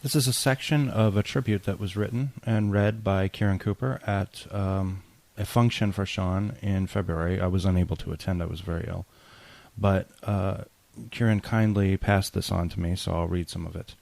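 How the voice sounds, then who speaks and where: Male host, low gravelly voice, dry sound, reading a eulogy. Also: fairly even intonation, moderate pace, a little mellow, no echo.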